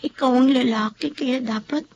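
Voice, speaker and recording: A woman's voice: sits high, steady tone, normal speed, a little mellow, breathy edge, dull audio. A woman playing Sabrina, an emotional young woman in love, in a radio drama, often tearful and pleading. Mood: earnest. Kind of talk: Filipino radio drama acting.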